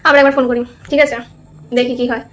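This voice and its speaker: A woman's voice: high-pitched, flat delivery, very fast. A woman chatting casually as a prank call host, teasing and insistent. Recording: clearly noisy background.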